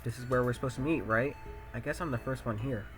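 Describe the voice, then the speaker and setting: A man playing an anxious, earnest teenage high-school boy who narrates his own thoughts in a visual novel fan dub, musing and questioning himself. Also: fast, a bit bright, faint music behind, natural voice. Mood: nervous, hopeful. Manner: self-questioning, musing aloud.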